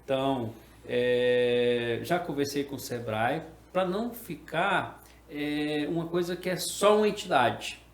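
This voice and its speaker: A middle-aged man from Brasília, a guest explaining things in a measured way. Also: fairly high pitch. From Brasília, Brazil. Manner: explanatory, measured, uses "tá?" tags. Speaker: guest, association president. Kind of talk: interview conversation. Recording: echoey room with faint background noise.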